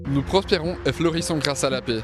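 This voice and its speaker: A man giving a short prepared speech outdoors, measured, with a few hesitations. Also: a little high. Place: outdoors by a bus station. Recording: music behind.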